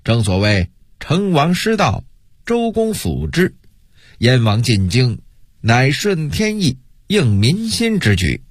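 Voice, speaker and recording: Man playing a pompous, husky turncoat army commander. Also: medium pitch, wildly swooping pitch, takes it slowly, no room sound, studio quality, heavily acted. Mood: pompous rallying. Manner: pompous, speechifying, sneering.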